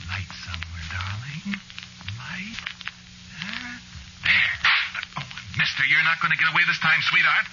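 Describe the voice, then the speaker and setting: An actor playing a haunted, centuries-old man muttering haltingly to himself in an old-time radio horror play. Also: fairly high pitch, big pitch swings. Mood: menacing. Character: haunted centuries-old man hunting a shapeshifting witch lover. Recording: dull, noisy vintage radio recording.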